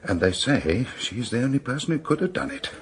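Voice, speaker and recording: An actor playing a genial Englishman who relays crime news in a measured, conversational way in a radio drama. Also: mid-range voice, very animated, lightly acted. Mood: grave, intrigued. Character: genial, measured male bearer of grim case news.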